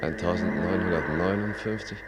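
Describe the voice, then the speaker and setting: An actor playing a grave, cryptic guide in a radio drama, speaking in formal, declamatory phrases. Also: male voice, deep voice, steady tone, normal speed, close to an everyday voice. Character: grave, cryptic male guide attending a blind dignitary. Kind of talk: German radio drama acting. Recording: noisy radio play soundscape.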